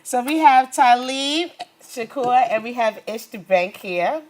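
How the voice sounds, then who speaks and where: A woman's voice: a little high, lively intonation, a little slow, darkish tone. A woman hosting a talk show in a conversational interview style, chatty and encouraging with her guest. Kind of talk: conversational small business interview. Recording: small studio audience present.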